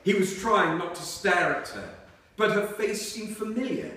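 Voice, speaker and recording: A man reading aloud from a novel to listeners in a measured storytelling way. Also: sits high, bright, forceful tone. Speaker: reader at a book reading. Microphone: distant microphone. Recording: very echoey hall, far from microphone.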